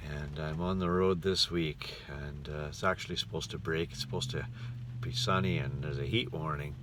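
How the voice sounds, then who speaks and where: A Canadian man with a deep voice talks in a casual, folksy vlog style. He rambles along like a musician out on tour. A faint vehicle rumble runs through the on-the-road recording. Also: fairly even intonation, normal speed. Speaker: touring musician and entertainer.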